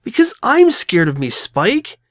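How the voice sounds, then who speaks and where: A woman's voice: medium pitch, sing-song, dry recording, clean studio sound, voice pushed up. A woman voicing a frightened, guilt-ridden young female pony who stammers and doubts herself in an audio drama. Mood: defensive. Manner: stammering, halting, anxious. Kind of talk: all-dialogue fan audio drama.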